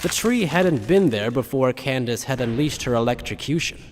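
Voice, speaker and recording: A male narrator with a medium-pitched voice in long, hall-like reverb. He reads scripted dramatic story narration. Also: animated, moderate pace, a little hiss or hum.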